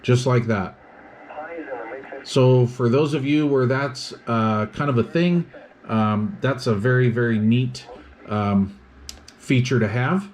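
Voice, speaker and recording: Guy doing a casual gear demo, explains it step by step, middle pitched voice, some noise behind him. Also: slow, pauses often, a little mellow, low-quality recording. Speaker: product reviewer. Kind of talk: casual hands-on product demonstration.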